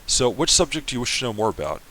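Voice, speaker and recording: A man's voice: mid-range voice, sing-song, fast talker, pushed and bright, low-quality recording. A man reading the lines of an apologetic, chatty female ship AI from a game. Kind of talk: semi-dramatic reading of a game.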